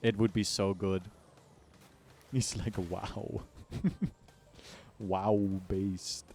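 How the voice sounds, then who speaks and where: A man's voice: fairly low pitch, fairly even intonation, drawn-out words, a little mellow. An American man chatting casually on a game livestream, joking in slang and complaining in mock exaggeration. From United States. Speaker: game streamer. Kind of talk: casual livestream chat while gaming. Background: music behind the voice.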